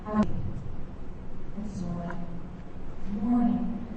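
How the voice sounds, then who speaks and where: An actress playing a lone woman survivor who recounts a devastated world in dramatic radio play style. Her voice is distant and swims in heavy echo, with clear noise behind it. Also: on the low side, extremely slow, highs cut off. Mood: grave, awed. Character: lone woman survivor narrating an alien-ravaged landscape. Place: performing arts center stage, live broadcast. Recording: very echoey distant hall, noisy.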